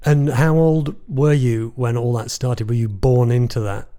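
A man hosting a podcast, narrating and interviewing in a clear, composed presenter manner. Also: mid-range voice, steady tone, measured pace, warm tone. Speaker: podcast host.

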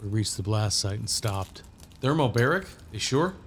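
A man's voice: on the low side, animated, normal speed, a little hiss or hum. A man playing a counterterrorism agent who narrates an audio drama in a dry, understated way. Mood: serious. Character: male counterterrorism agent Blake, wry first-person narrator. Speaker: narrator and lead actor.

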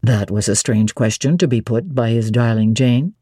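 Woman playing a low, brooding, aggrieved gentleman. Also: normal speed, slightly rough, no echo, clean studio sound. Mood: wounded. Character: brooding gentleman bridegroom, wounded, coaxing and indignant. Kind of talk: dramatic classic novel reading.

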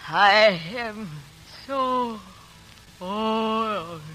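An actress playing an ancient woman who is withering with age, faltering slowly as her voice fades into a cry, in a 1940s radio play. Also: medium pitch, sharp tone, slight background noise, rough recording. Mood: lamenting. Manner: slow, faltering, fading into a cry.

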